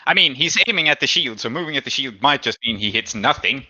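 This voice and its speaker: Man, very bright forceful voice, measured game master rulings, dry close sound. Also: medium pitch, animated, dry recording, lo-fi sound. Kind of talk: tabletop game narration and rulings.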